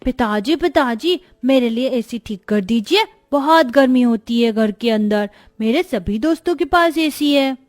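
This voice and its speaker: A woman voicing an eager young boy who pleads excitedly, in a moral-story cartoon. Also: on the high side, measured pace, hardly any pauses. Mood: pleading.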